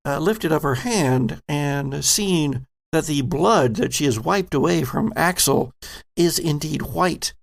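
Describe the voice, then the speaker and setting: Slow gravelly male game master. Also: a little high, dry recording. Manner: slow, measured, atmospheric narration. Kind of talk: narrating a tabletop game.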